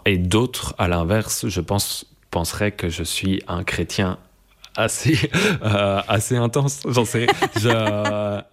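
A young man in a reflective conversation, speaking thoughtfully and searching for words, with frequent pauses and restarts. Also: on the low side, a little hiss or hum. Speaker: interviewee.

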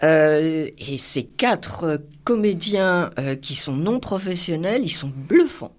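A woman's voice: on the low side, lively intonation, slow. A woman on a film panel, speaking eagerly and expressively, often chiming in with agreement. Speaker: film critic guest. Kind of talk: casual film review chat.

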